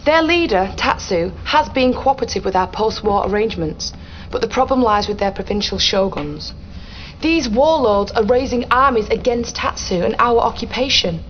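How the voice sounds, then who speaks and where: An actress playing a polite, businesslike Allied military officer delivering mission briefings in a game cutscene. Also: female voice, mid-range voice, highs cut off, slight background noise, close to an everyday voice.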